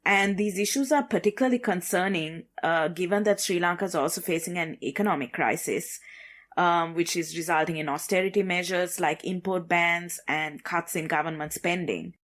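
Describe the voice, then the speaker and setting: A woman co-hosting a news podcast, explaining regional affairs in a measured, conversational way with frequent fillers. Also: lowish voice, fairly bright tone. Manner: measured, with frequent fillers like "you know" and "kind of". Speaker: podcast co-host.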